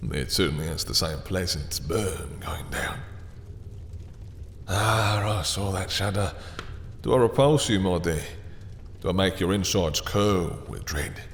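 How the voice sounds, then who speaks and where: Man playing a deep, slow, seductive vampire lord. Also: expressive. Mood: menacing, teasing. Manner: courtly, seductive, teasing, drawn-out phrasing. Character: suave, possessive, menacing male vampire lord, courting his captive. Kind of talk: scripted roleplay audio drama. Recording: long reverb, faint background noise.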